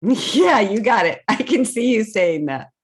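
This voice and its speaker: A woman's voice: mid-range voice, lively intonation, a little slow. A woman reading stories aloud to young children on a video call, in simple, encouraging phrases. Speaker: children's storytime reader. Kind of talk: animated read-aloud storytelling to children.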